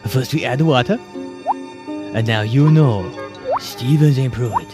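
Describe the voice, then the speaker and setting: A man's voice: mid-range voice, slow, loud music behind the voice, lightly acted. A man playing a mocking, stern prison-camp commandant in a parody radio bit.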